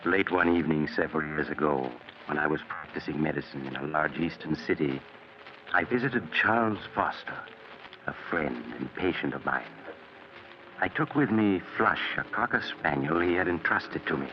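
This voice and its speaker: Very deep ominous radio storyteller. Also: male voice, normal speed. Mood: sinister, inviting. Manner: sly, ominous, theatrical. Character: ominous doctor storyteller of eerie crime tales. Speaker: host and narrator of a mystery anthology. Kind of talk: 1940s radio suspense narration. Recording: noisy, muffled old radio recording.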